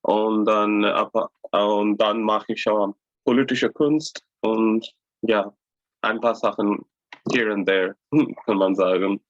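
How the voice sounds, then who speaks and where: A man from Tamil Nadu whose first language is Tamil, introducing himself slowly and hesitantly as a workshop facilitator. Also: on the low side, fairly even intonation, no echo. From Tamil Nadu, India. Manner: slow and hesitant, with many fillers and soft laughs. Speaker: workshop facilitator and political artist. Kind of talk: self-introduction. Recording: dry, dull lo-fi sound.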